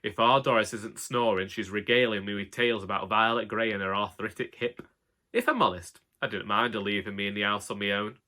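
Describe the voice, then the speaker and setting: A man playing a long-suffering elderly Northern English husband, telling a comic monologue in a wry, deadpan way. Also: on the low side, fairly quick. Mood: wry, exasperated. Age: older. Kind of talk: comic scripted monologue narration.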